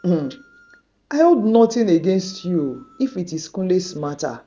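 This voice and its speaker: A woman playing a quiet measured wronged woman, slow, mellow, dull lo-fi sound. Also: lowish voice, big pitch swings. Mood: calm. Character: calm wronged woman, dignified and forgiving.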